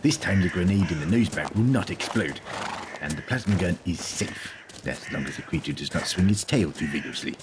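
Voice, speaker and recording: A man's voice: deep voice, lively intonation, a little fast, background noise. A man playing a pompous, bloodthirsty alien warrior servant in an audio drama, literal-minded, barking and scornful of humans. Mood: proudly reassuring.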